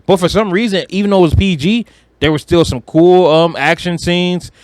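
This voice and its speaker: A man's voice: sits high, moderate pace, a little mellow, no room sound. A man co-hosting a podcast in casual fan chat, informal and slangy, with an enthusiastic manner. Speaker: podcast co-host.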